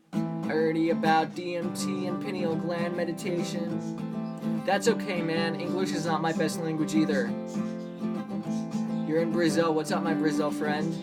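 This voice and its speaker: Laid-back man, fairly high voice, rambling live-stream chat over a loud acoustic guitar. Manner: rambling, relaxed, many "like" fillers. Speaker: livestream host answering viewer questions. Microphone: phone. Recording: loud guitar music behind voice. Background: acoustic guitar playing.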